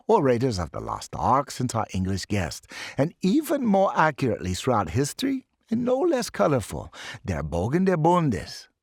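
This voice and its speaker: A man's voice: mid-range voice, gravelly, no echo, studio quality, exaggerated voice. A man putting on a German professor, grand. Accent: put-on German. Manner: dramatic, playful storyteller. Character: theatrical male German professor, showman lecturer.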